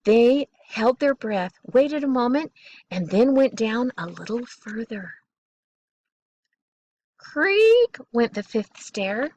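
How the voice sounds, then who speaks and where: A woman reading a children's bedtime story aloud in an expressive, deliberate way, drawing out key words. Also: fairly high pitch, no room sound, dull audio.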